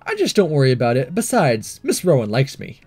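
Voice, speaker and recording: A man's voice: mid-range voice, huge pitch swings, a little fast, darkish tone, breathy edge, faint music behind, full character voice. A man voicing a confident, casual teenage boy disguised as a schoolgirl, narrating a visual novel. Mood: breezy. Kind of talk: first-person visual novel narration and dialogue.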